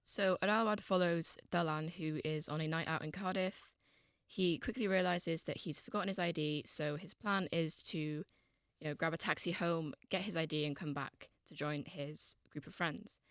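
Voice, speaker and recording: Young woman, deep low voice, dry close sound, measured casual chat. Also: fairly even intonation, dry recording. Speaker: podcast guest.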